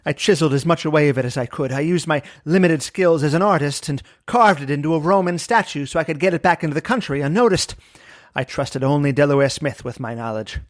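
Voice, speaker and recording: Man playing a higher-voiced haunted, remorseful scientist. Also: fast, no room sound, clean studio sound, natural voice. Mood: haunted, insistent. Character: wounded, remorseful male scientist confessing a dangerous secret. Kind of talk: old-time radio melodrama.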